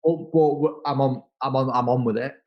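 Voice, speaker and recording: Halting man in his thirties. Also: mid-range voice, fairly even intonation, lo-fi sound. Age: 30s. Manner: halting, repeats words and restarts often. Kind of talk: casual interview conversation.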